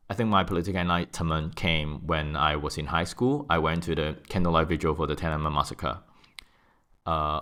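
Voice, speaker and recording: A man's voice: deep voice, a bit bright, clear, resonant tone. A man in his thirties from Hong Kong with a Hong Kong accent, answering interview questions thoughtfully and restarting his sentences often. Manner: thoughtful, frequent restarts and fillers. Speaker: guest. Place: office.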